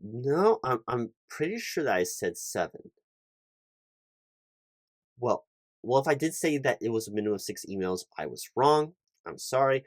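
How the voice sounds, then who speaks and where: A man's voice: mid-range voice, sing-song, moderate pace, pauses often, no echo, clean studio sound, natural voice. A man playing a fussy, officious recruiting manager in a one-sided interview roleplay, rambling and repetitive with pedantic detail. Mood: defensive, apologetic. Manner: rambling, repetitive, pedantic, stumbling over long lists. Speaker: ASMR roleplay performer.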